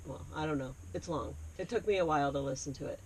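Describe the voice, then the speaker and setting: A woman's voice: sits low, fast, a little mellow, low-quality recording. A woman from Appalachia chatting casually as a co-host about books, in an easygoing, agreeable manner. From Appalachian United States. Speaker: library podcast co-host. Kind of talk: casual book chat. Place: parked library bookmobile. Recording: clear background noise inside vehicle.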